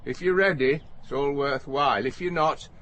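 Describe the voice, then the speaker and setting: An actor plays a lonely, obstinate older eccentric in a radio drama. His Northern English voice sits a little high for a man, and he speaks in terse, prim, defensive replies. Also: normal speed, a bit bright, slight background noise, natural voice. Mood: curt, stubborn. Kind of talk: naturalistic radio play acting.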